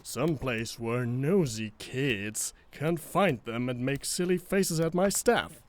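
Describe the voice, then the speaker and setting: An actor playing a wry, teasing military superior officer in an animated comic dub, with a sardonic manner. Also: male voice, medium pitch, slow. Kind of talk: animated fandub comic voice acting.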